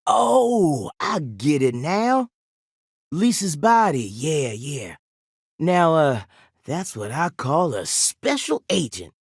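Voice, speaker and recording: A man's voice: fairly high pitch, very animated, slow, slightly rough, no room sound, studio quality, exaggerated voice. A man playing a folksy, jovial small-town sheriff in a video game, with a rambling Southern US drawl. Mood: hesitant.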